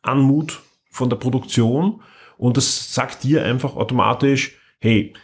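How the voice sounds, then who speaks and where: A man's voice: mid-range voice, measured pace, husky. A man co-hosting a podcast, chatting casually and filling in facts and figures. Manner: informative, fills in facts and figures. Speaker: podcast co-host. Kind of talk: casual chat.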